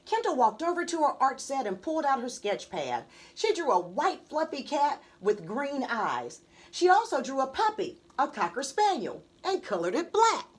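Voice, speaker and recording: A woman reading her own children's book aloud as an animated storybook narrator. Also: high voice, normal speed.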